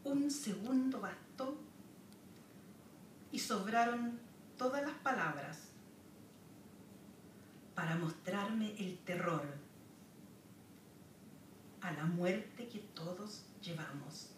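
A Chilean woman poet from the south of Chile gives a poetry reading at a podium in a lecture room, reciting slowly with pauses between lines. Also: mid-range voice, animated. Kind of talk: poetry reading with spoken asides. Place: wood-paneled lecture room, standing at a podium. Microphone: camera far across the room. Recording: roomy, distant, noisy room recording.

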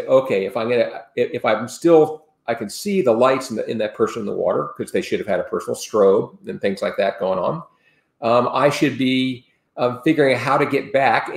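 A man's voice: mid-range voice, creaky. A man in his sixties, a sailing expert guest on a video call from home, explaining safety steps in a rambling, earnest way with many restarts. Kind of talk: casual explanatory interview talk. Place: home room, indoors. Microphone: video call webcam. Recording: roomy video call audio.

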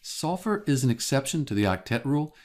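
A man teaching chemistry in a calm, methodical voice-over, explaining step by step. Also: mid-range voice, fairly quick. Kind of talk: scripted lesson voice-over. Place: voice-over over a drawing screen.

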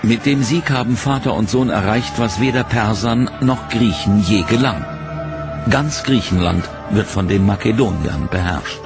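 A man narrating a history documentary from a script, in a measured, dramatic way. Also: on the low side, over loud music.

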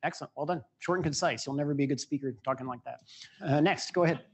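Fast-talking panel moderator man. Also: on the high side, husky. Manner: quick, jokey, prompting. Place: auditorium stage, standing.

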